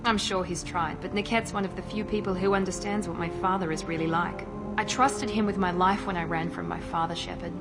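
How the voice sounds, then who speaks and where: A woman's voice: fairly low pitch, steady tone, a little fast, lightly acted. A woman playing a cool, controlled operative. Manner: crisp, controlled, clipped. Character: cool, guarded female operative and squad mate. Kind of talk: video game cutscene dialogue. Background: game score music.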